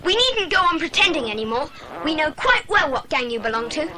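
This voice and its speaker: A bold young boy takes charge in a dramatised children's audiobook. The voice is very high and lively, with crisp orders and a decisive manner. Clear background noise sits behind it. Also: fairly quick. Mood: defiant. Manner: commanding, decisive. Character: bold, bossy adventurous boy leading his friends.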